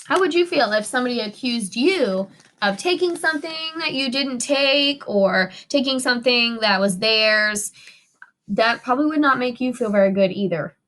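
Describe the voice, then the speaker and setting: A woman teacher reads a picture story aloud for children. Her voice is high, bright and animated, and she narrates expressively while guiding listeners with questions. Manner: expressive, instructive.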